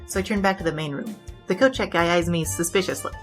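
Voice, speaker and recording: A woman's voice: fairly low pitch, fast talker, lightly acted. A woman playing a glib, flirtatious bandit who confesses and argues in a comedic noir audio drama. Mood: brisk storytelling. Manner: glib, digressive, argumentative. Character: brash, chatty, flirtatious female bandit king confessing her crimes. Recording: music bed under the voice.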